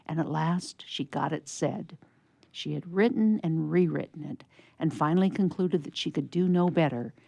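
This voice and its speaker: A woman's voice: deep voice, vocal fry, mellow, no echo. A woman narrating a scripted story for radio theatre in a storybook style.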